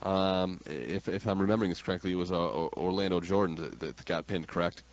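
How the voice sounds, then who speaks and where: A man's voice: low voice, fairly quick. A man from New York State with an American accent, talking wrestling as a brash, emphatic, slangy radio host. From New York State, United States. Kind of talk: opinionated wrestling talk radio banter. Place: radio studio. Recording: dull lo-fi radio audio.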